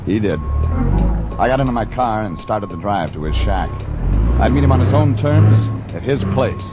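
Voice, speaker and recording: An actor playing a wry, terse, deadpan private detective in 1940s radio drama style, on an old recording with vehicle noise. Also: male voice, on the low side, normal speed, muffled recording, loud music behind the voice, close to an everyday voice. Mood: grim narration. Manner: terse, deadpan, hard-boiled. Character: wry hard-boiled male private detective from Los Angeles.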